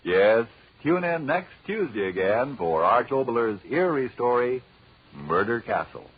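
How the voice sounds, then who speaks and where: A man working as a 1940s radio announcer, delivering polished, emphatic sponsor pitches. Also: very animated, unhurried, slight background noise. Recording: muffled, husky-sounding 1940s radio recording.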